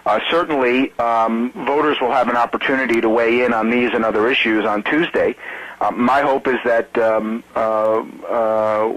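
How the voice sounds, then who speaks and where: An American man, a campaign spokesman, answering reporters on a conference call in a halting, measured way. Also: fairly low pitch, a bit bright, no room sound, poor audio. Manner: halting, measured, hesitant delivery.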